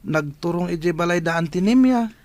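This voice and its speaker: A troubled, protective young man in a radio drama. His voice is high for a man and he talks with earnest, suspicious urgency. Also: lively intonation, moderate pace, darkish tone, no echo. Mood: worried, suspicious. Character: troubled, suspicious youth, a protective older brother.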